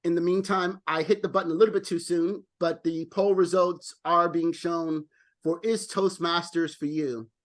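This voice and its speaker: A man's voice: on the high side, fairly even intonation, moderate pace. A man hosting an online club meeting as its president, friendly and often repeating words as he talks. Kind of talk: casual online meeting chat. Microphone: video call.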